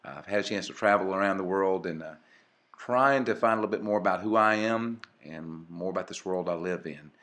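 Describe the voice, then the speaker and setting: A man's voice: low voice, a little fast, fairly bright tone. A man, casually narrating a channel intro with many fillers and restarts. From Mississippi. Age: 40s. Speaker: channel co-host.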